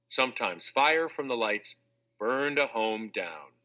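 A man narrating a children's textbook read-aloud in a clear, measured, expressive scripted delivery. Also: medium pitch, poor audio. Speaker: audiobook narrator.

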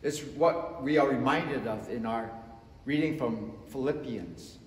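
A man in his fifties preaching a sermon from the front of a church sanctuary, slow and emphatic, swinging between loud and soft. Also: mid-range voice. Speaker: pastor. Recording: echoey church with long reverb.

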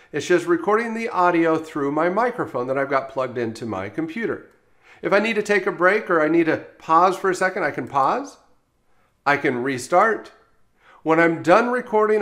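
Male software trainer, slightly higher register, explanatory step-by-step delivery for instructional screencast narration.

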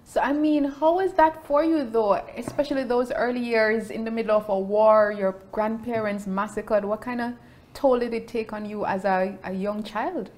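A woman's voice: on the high side, fairly even intonation, normal speed, a little mellow. Upbeat woman hosting an interview. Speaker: host. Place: indoor room with shelves.

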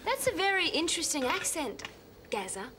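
An actress playing a flustered, polite hostess at a dinner party in a sitcom. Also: female voice, very high voice, sing-song, moderate pace, noisy background. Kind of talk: sitcom acting. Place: indoor studio set, dining room.

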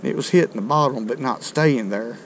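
A middle-aged man, chatting to viewers in a folksy, excited way while fishing from a riverbank, recorded on a chest-mounted camera outdoors. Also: mid-range voice, moderate pace, slight background noise, poor audio. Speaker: fishing video host. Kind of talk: casual outdoor commentary. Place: outdoors on a rocky riverbank below a dam. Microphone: chest-mounted action camera.

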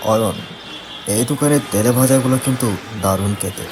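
A man playing a friendly, insistent young office worker in animated story dialogue, chatty and pressing. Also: medium pitch, normal speed, over music, natural voice. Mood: teasing.

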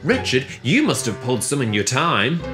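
A man's voice: medium pitch, wildly swooping pitch, normal speed, music in the background. A man voicing a cheerful, kindly little tank engine in a children's story, chirpy, polite and encouraging.

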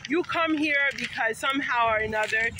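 Middle-aged woman, high voice, rambling emphatic vlog on a phone at arm's length outdoors. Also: moderate pace. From Haiti. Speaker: vlogger and teacher. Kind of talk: casual talk to camera. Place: sunny city park outdoors. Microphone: phone held at arm's length. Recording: noisy outdoor phone recording.

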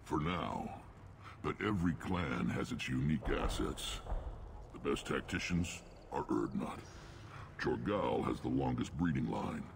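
Game casting: battle-worn alien chief, bottomless rumbling bass full of gravel, blunt authority, wry warmth. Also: male voice, a little slow, noisy background, exaggerated voice. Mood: firm, proud. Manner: blunt, commanding. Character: gruff battle-worn alien clan chief, commanding, wryly warm. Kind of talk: video game dialogue.

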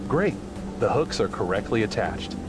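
Man narrating instructions, lively intonation, over music. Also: lowish voice, moderate pace. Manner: clear, instructive. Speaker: training narrator. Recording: music behind the voice. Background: prominent background music.

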